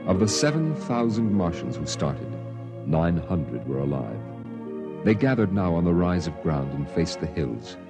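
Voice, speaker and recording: A man narrating a 1950s radio drama in a grave, measured storytelling voice. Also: low voice, very animated, music in the background.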